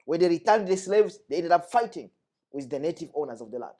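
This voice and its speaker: A man's voice: on the high side, expressive, fast talker, mellow. Passionate Congolese-born man, emphatic. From Democratic Republic of Congo. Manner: passionate, emphatic, repeats key phrases. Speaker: guest. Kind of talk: earnest discussion.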